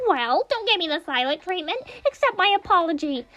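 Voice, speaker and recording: A woman voicing a petulant, whiny young man who used to be a robot bear, in a shrill, loud voice. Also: sing-song, brisk, lo-fi sound, soft background music, heavily acted. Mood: demanding.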